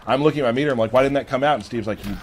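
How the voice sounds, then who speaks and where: A man's voice: mid-range voice, racing. A man hosting a casual game livestream chat, recorded with some background noise. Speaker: host on a game developer's livestream.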